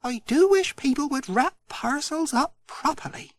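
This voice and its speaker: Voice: sits high, expressive, a little slow, dry recording, pitched above the performer's own voice. A storyteller voicing a fussy older postmistress who frets about a badly wrapped parcel. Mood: fretful. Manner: fussy, tutting.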